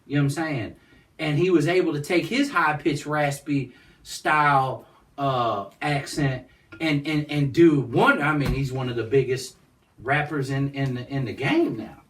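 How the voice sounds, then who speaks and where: A man, talking as a guest in a casual interview and rambling with constant "you know what I'm saying.". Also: a little slow. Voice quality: high-pitched voice. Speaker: guest, nonprofit founder. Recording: distant mic.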